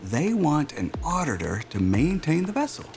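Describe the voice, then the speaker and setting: A man playing a self-assured, smooth-talking aerospace executive in a naturalistic audio drama, with a polished, salesman-like manner. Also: on the high side, huge pitch swings, moderate pace, a little mellow, soft background music. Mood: measured, careful.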